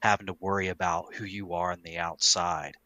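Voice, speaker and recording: A man's voice: low-pitched, level tone, fairly quick, sharp tone, no echo. A man hosting a casual podcast discussion, explaining his points emphatically with repeated phrasing.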